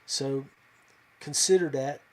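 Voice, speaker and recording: A man's voice: mid-range voice, slow pace. A man hosting a live podcast, talking casually and folksily to viewers in a hesitant, rambling way. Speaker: podcast host.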